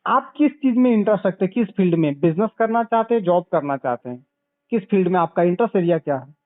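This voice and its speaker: A man giving a didactic sales pitch as a consultant over the phone, repeating points and checking back with questions. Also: animated, fast talker, no echo. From Bihar, India. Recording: narrow, tinny phone call recording.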